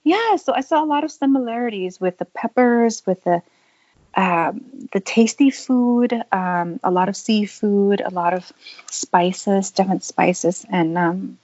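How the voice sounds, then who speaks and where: A woman who grew up in Thailand, telling her life story in an unhurried, thoughtful interview, with small laughs. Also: mid-range voice, a little mellow, no room sound, muffled recording. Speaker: podcast guest. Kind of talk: conversational interview.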